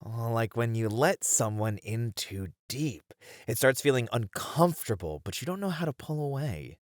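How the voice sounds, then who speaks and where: A man's voice: medium pitch, normal speed, dry recording, studio quality, close to an everyday voice. A man playing a thoughtful game developer, candid and casual, uneasy yet eager, in an ASMR roleplay. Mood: uneasy, reflective. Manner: casual, hesitant, expressive.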